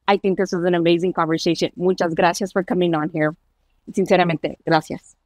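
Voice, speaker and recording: Woman, podcast host, somewhat low pitch, encouraging conversational delivery, dry close sound. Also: little pitch movement, a little fast, a little mellow, no room sound. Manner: affirming, encouraging. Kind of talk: conversational interviewing.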